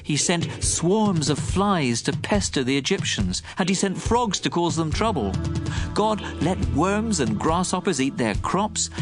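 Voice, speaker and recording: Male narrator, fairly high voice, dramatic scripted scripture reading with a clear music bed underneath.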